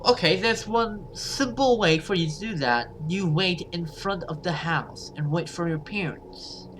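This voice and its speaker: A man's voice: sits high, measured pace, a bit bright, slight background noise, poor audio. A man reading a horror story aloud as a gamer, narrating and voicing dialogue, sometimes stumbling and rereading. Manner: stumbles over words and rereads.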